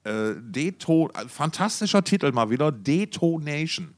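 A man's voice: fairly high pitch, sing-song, normal speed. A man co-hosting a podcast in casual, slangy, enthusiastic music talk, often repeating words as he searches for phrasing. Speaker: podcast co-host.

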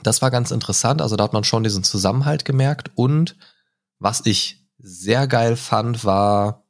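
A man's voice: medium pitch, normal speed. A man hosting a podcast, chatting casually and often adding filler words and tag questions. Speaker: podcast host. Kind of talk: casual chat.

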